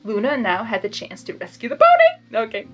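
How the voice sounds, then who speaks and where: A woman's voice: fairly high pitch, huge pitch swings, brisk, highs cut off, soft background music. A woman reading a story aloud as an amateur, with casual, giggly, self-deprecating asides and stumbles over words. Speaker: amateur fan fiction reader.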